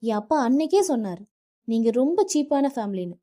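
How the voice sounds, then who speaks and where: A woman voicing an angry young wife who shouts at her husband and later pleads through tears. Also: medium pitch, animated, a little slow, no echo, studio quality, pitched above the performer's own voice, natural voice. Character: young wife, hurt and defiant.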